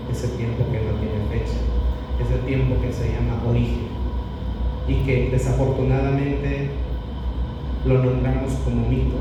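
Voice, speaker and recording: Man speaking from far off in an echoey hall. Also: mid-range voice. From southern Veracruz, Mexico. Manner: measured, reflective, speaks in short phrases. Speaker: translator presenting a book. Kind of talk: public talk at a book presentation. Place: cultural center hall. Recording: echoey hall, distant mic, loud noise.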